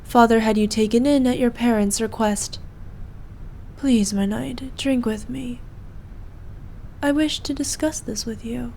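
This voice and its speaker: Woman acting a slow, haughty evil queen. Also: fairly high pitch, fairly even intonation, dark tone, a little hiss or hum. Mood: tender, gracious. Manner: haughty, formal, commanding. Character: evil imperious queen, cruel to subjects, doting on her knight. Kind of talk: scripted ASMR roleplay acting.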